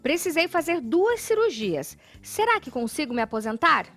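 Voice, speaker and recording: A Brazilian woman TV host reading viewers' questions out loud, adding playful comments. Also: high voice, moderate pace, soft background music. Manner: lively, playful asides when reading. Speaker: TV show host. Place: TV studio.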